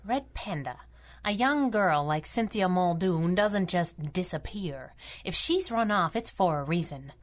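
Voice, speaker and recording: A woman's voice: fairly low pitch, animated, a little slow. An actress playing a spunky, wisecracking young female sidekick and mechanic in a radio drama, with a Canadian accent. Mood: earnest. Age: young adult. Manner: sassy, teasing banter. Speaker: hero's sidekick.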